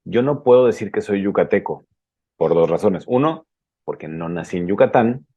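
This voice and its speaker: Hombre mexicano de voz grave y oscura. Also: male voice, sits low, lively intonation, mellow, breathy edge, no echo, dull audio. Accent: Mexican Spanish. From Guadalajara, Mexico. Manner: measured, explanatory. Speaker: podcast host. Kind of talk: interview questions.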